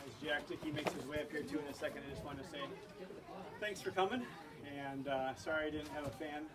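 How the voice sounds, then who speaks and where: A man's voice: on the high side, steady tone, quick pace. A man giving an informal introduction to a student show, speaking to an audience like a teacher. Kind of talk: informal introduction speech to parents. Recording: noisy room, distant microphone.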